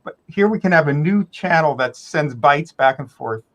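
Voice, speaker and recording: Higher-pitched man explaining tech step by step. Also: lively intonation, moderate pace, fairly bright tone. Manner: step-by-step, self-correcting. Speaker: software developer demonstrating work. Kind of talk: casual technical explanation.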